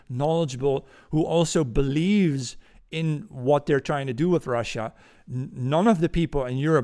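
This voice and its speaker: A man in his forties with a Dutch accent, hosting a political commentary podcast from a room at home on a boom-arm studio mic, ranting indignantly. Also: a little mellow. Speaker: podcast host.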